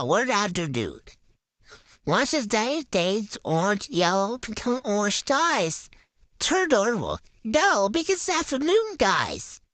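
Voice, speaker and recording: Woman doing puppet show lines, slow, swoopy pitch, dry close sound. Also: fairly bright tone, dry recording. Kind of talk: improvised puppet show dialogue.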